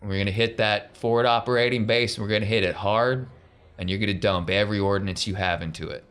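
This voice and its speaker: A man voicing a gruff, folksy rebel leader rallying fighters in a tabletop game, with commanding delivery and down-home grammar. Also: fairly low pitch, fairly even intonation, quick pace, a bit bright, natural voice. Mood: stern, threatening.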